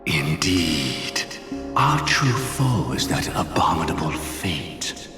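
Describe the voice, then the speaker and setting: An actor playing a solemn, ruthless schemer in a video game, speaking in grave, grandiloquent, formal phrases. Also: male voice, rumbling low, very animated, takes it slowly, heavily acted. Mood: cold, solemn. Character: solemn, ruthless older male schemer of lofty purpose. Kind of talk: theatrical video game voice acting. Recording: echoey, music underneath. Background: dramatic game music.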